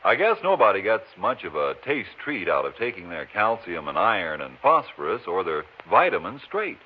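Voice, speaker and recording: A man's voice: low-pitched, sing-song, normal speed, husky. A man delivering a 1950s radio commercial in a folksy, chatty, enthusiastic selling manner. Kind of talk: 1950s radio advertising pitch. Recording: muffled old radio broadcast recording.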